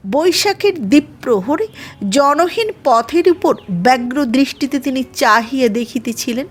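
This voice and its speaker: A woman with a high voice reads a literary story aloud. Her delivery is slow, formal and measured, as scripted narration, with faint music behind her. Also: slightly rough. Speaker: audiobook narrator.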